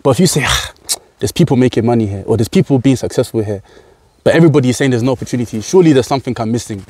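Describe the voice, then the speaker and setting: A man's voice: medium pitch, racing, dry recording. A young man with a London accent, talking casually and with animation as a farmer being interviewed outdoors in a field. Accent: London English. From United Kingdom. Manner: animated, gestures while talking, repeats words.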